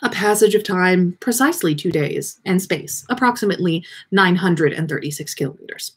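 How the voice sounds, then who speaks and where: A woman reads fiction aloud in a lively, widely swooping voice with a husky edge. She leans into key words theatrically and now and then trips over a phrase. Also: fairly low pitch, normal speed. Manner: animated, theatrical emphasis with occasional stumbles. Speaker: podcast host reading listener fan fiction aloud. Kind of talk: expressive story reading on a livestream.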